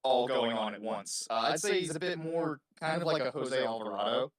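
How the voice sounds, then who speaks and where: A man answering interview questions casually, explaining himself in measured short phrases. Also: medium pitch, expressive. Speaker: interviewed voice actor.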